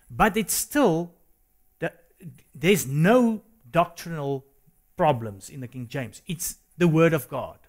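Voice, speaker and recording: A South African man whose first language is Afrikaans, co-hosting a religious discussion in an earnest, halting way. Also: on the high side. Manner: earnest, hesitant with restarts. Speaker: co-host. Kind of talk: studio discussion.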